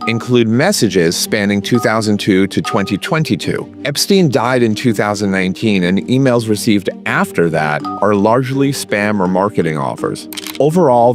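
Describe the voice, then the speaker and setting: A man delivering scripted news narration as a reporter. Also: on the low side.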